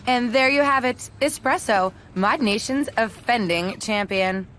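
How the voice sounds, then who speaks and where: High-pitched, snarky trackside reporter. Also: female voice, measured pace, a bit bright, a little hiss or hum. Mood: brisk, sarcastic. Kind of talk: TV sports reporting in a game. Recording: music behind the voice.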